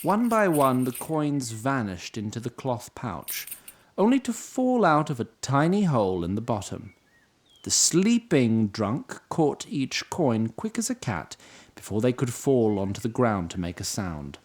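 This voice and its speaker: A man's voice: medium pitch, very animated, measured pace. A man narrating a scripted fantasy audio drama in storybook style.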